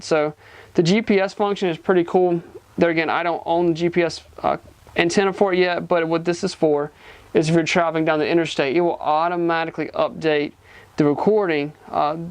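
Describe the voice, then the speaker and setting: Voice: mid-range voice, clear, resonant tone, no echo. A person giving a casual, hands-on product demonstration, explaining informally step by step. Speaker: gadget reviewer.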